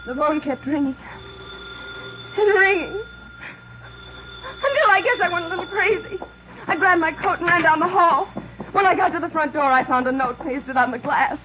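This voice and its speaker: High-strung, frightened woman, very high voice. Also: normal speed, long pauses. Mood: panicked, distraught. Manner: stammering, agitated. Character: frightened, high-strung woman tormented by mysterious phone calls. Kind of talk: 1950s radio drama acting. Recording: dull, noisy old radio recording.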